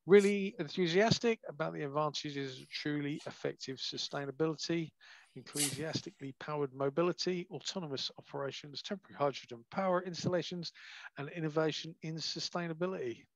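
A man's voice: a little high, fairly quick, dry recording. A man chairing an online branch meeting over a video call, reading out introductions of the speakers. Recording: dull, dry video call sound.

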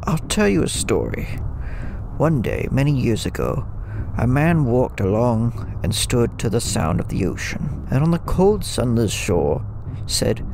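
A man's voice: medium pitch, wildly swooping pitch, a little slow, gravelly, background noise, exaggerated voice. A man playing a brooding, poetic old lighthouse keeper in an audio drama, musing like a storyteller. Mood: melancholy. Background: music.

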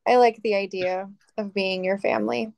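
A woman's voice: mid-range voice, steady tone, moderate pace, gravelly, darkish tone, no room sound, clean studio sound, natural voice. A woman playing an earnest, yearning actress in love, in a screenplay table reading over video call.

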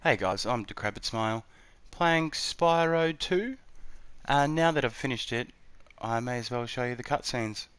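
A man's voice: mid-range voice, unhurried, fairly bright tone, clean tone, dry recording. A man casually introducing his gaming video, offhand and a bit shy. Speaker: gaming YouTuber.

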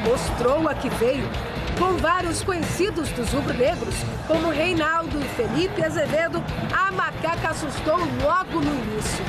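High-voiced woman narrating a football report. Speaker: sports reporter. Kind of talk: scripted TV sports report narration. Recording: voice-over over loud music and stadium crowd.

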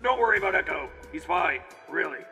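An actor playing a pompous, shifty mad scientist showman making a booming park announcement in a cartoon fandub, with long reverb. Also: animated, fairly quick, soft background music, full character voice. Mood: hurried, shifty. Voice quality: gravelly, forceful.

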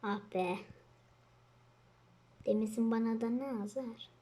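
A young girl with a very high voice hosts a gaming session. She talks casually and excitably, commenting on the play as it goes. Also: takes it slowly. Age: child. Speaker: host of a gaming channel.

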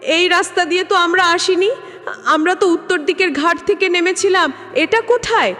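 A woman's voice: very high-pitched, normal speed, a bit bright, pitched above the performer's own voice. A woman voicing a frightened young man on a ghost hunt, anxious and pleading, in a horror story. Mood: bewildered, anxious. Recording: long reverb, clear background music.

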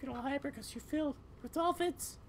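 A woman's voice: sits high, brisk, slight background noise. A woman voicing a tired, sarcastic young female cat in a casual, rambling way for a game. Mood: hyper, rambling. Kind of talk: fan voice-over of game dialogue.

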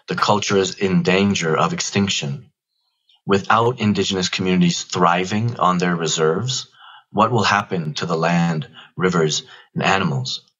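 A man in his forties talks as a podcast guest in a deep voice. He is earnest and halting, telling his story reflectively. The audio is a little dull and lacks top end. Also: fairly bright tone, breathy edge. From Toronto, Canada. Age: 40s. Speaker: podcast guest, activist spokesperson.

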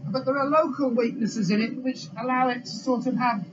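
A middle-aged man explains as an expert, projecting a very high-pitched voice. He speaks outdoors with clear rushing water noise, and the sound is slightly dull. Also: fairly even intonation. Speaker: geology expert. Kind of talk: unscripted explanation in an interview. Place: outdoors beside a roaring waterfall.

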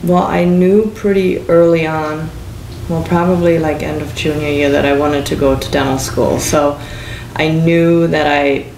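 A woman's voice: low-pitched, fairly even intonation, measured pace, gravelly, background noise. A young woman from Michigan answering interview questions hesitantly, with many fillers, in a living room. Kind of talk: casual interview. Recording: roomy, from a distance.

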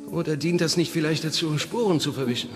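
Man as anxious, higher-voiced thriller hero. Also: quick pace, music in the background, close to an everyday voice. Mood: suspicious. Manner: urgent, questioning. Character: anxious, suspicious husband in danger. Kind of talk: German audio drama acting.

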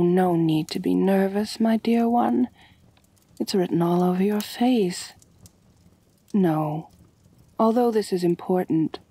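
Breathy, slow vampire mistress, acted by a woman. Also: lowish voice, no room sound. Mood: doting, admiring. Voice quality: soft, breathy, husky. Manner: slow, coaxing, genteel, purring endearments. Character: elegant vampire mistress, doting, gently dominant, calculating noblewoman. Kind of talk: intimate ASMR roleplay. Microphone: close, dry microphone.

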